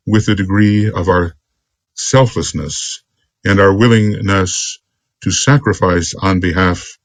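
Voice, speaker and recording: A man giving an extemporaneous spiritual lecture as a teacher, speaking earnestly in deliberate, halting phrases. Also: lowish voice, dry recording. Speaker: esoteric teacher.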